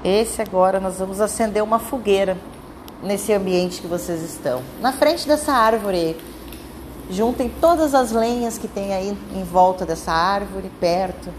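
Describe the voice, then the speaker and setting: A woman with a Brazilian accent leading a guided meditation in a calm, soothing, instructing manner with drawn-out phrases. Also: medium pitch, a little hiss or hum, rough recording.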